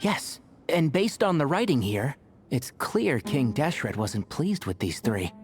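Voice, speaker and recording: An actress playing a composed ruins investigator in a video game, speaking in a measured, analytical way. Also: female voice, rumbling low, very animated, a little mellow, a little hiss or hum, exaggerated voice. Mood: thoughtful.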